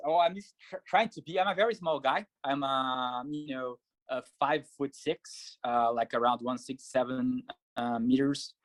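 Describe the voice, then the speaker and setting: A man from Brazil, speaking as a guest on an interview show. He is a triathlete and coach who talks in a measured, thoughtful way with frequent fillers. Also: mid-range voice, no room sound. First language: Portuguese. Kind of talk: casual interview answers.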